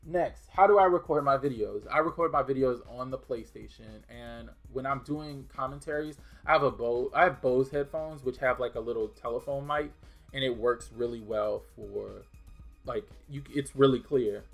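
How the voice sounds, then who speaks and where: A man's voice: medium pitch, moderate pace, vocal fry, soft background music. A young man from New Orleans with a mild New Orleans accent, chatting casually and bluntly while answering viewer questions, with restarts and fillers. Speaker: gaming video creator. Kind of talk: casual Q&A chat.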